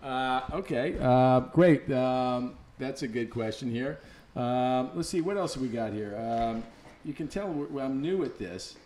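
A man's voice: medium pitch, a little slow, darkish tone, roomy sound. A man hosting a live web video interview in an office, joking easily and reading out viewer questions. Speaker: interview host.

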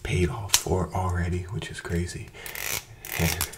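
A young man in his twenties doing an ASMR barber roleplay, talking softly and slipping into whispers, with calm, repetitive, soothing chatter into a close microphone. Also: deep voice, unhurried, very noisy. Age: 20s. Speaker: ASMR creator playing a barber. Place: bright indoor room with plants. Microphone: close desk microphone in front of him.

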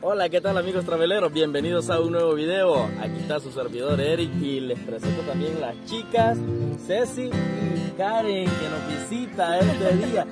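A man's voice: fairly high pitch, normal speed. A man with a Salvadoran accent chatting casually as a travel vlog host, talking to viewers and companions. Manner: informal, addressing viewers and companions. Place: roadside stop and restaurant, on location. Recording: loud music, busy crowd and vehicle noise.

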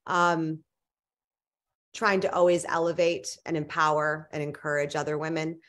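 A woman's voice: low-pitched, level tone, moderate pace, fairly bright tone. A young woman guest author on a video call from home, answering earnestly and often restarting her sentences as she searches for words. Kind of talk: casual interview answers. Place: home living room indoors. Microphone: video call webcam.

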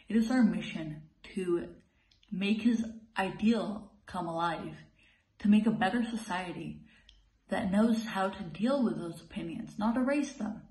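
Teenage female, mellow dark tone, student, prepared speech, roomy echo. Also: mid-range voice. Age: teens. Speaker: high school student. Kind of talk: prepared personal reflection speech. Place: indoors in a bare-walled room. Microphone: phone at arm's length. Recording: echoey bare room.

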